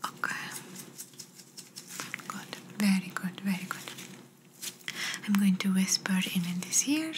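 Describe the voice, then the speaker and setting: A woman playing a gentle, reassuring doctor in an ASMR exam roleplay, speaking in a soft breathy whisper with slightly phrasing. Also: medium pitch, animated, slow, pauses often. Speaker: ASMR performer. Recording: noisy close-up whisper recording.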